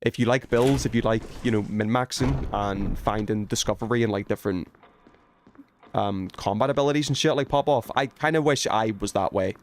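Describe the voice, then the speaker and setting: A man's voice: lowish voice, normal speed, a little hiss or hum. A man chatting casually as a game streamer, rambling in a self-effacing, sweary way. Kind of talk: casual chat while streaming gameplay.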